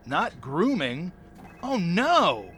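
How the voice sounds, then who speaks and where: An actor playing a scheming older male doctor with a loose moral compass, speaking slowly and deliberately in an audio drama. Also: high-pitched, wildly swooping pitch, bright, forceful tone, slight background noise, exaggerated voice. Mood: alarmed.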